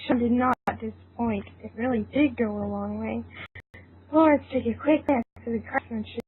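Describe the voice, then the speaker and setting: A woman's voice: on the high side, moderate pace. A woman reading a story aloud as narrator in an informal way, stumbling now and then and apt to giggle. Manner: informal, breaks into giggles, stumbles over lines. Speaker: narrator of a fan fiction reading. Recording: thin phone-like sound, faint noise.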